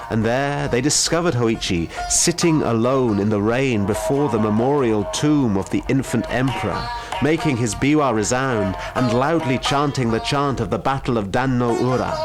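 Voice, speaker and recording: A man reading a ghost story aloud in a dramatic storytelling style. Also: medium pitch.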